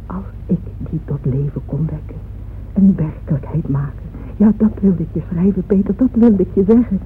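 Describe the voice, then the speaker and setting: An actress playing a wealthy, lonely middle-aged widow in a radio drama, yearning and confiding in a halting, intimate way. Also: female voice, on the low side, close to an everyday voice. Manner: hesitant, halting, confiding, at times near whispering. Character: wealthy lonely widow, wistful and yearning, addressing her absent beloved. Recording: muffled phone-like old radio recording, faint noise.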